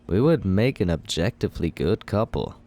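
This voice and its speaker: A man's voice: deep voice, expressive, moderate pace, warm tone. A young man playing a cocky male villain who courts the listener in an ASMR roleplay, teasing and laid-back as he justifies himself.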